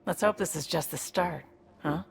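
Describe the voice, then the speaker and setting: An actress playing a tough, streetwise woman in a video game, blunt and reflective, with a gravelly voice. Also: deep voice, moderate pace. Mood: reflective, hopeful. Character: tough, streetwise woman seeking a fresh, honest start.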